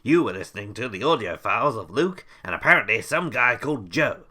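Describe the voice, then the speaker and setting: An actor playing an eccentric, breezily callous, self-important scientist, musing to himself as presenter in an audio drama monologue. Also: male voice, medium pitch, sing-song, a little fast, sharp tone, full character voice. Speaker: in-story podcast presenter.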